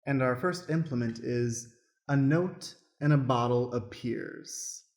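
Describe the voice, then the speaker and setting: A man with a mid-pitched voice speaks in a roomy, echoing space. He hosts an improvised radio play as stage manager, explaining things in a casual way. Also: slow pace, a little mellow. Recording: roomy, echoing room sound.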